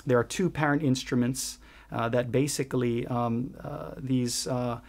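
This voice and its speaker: A man's voice: mid-range voice, steady tone, unhurried, darkish tone. A middle-aged man from Hawaii, answering interview questions in an earnest way, with many restarts and fillers. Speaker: guest, ukulele shop owner. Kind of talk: conversational interview.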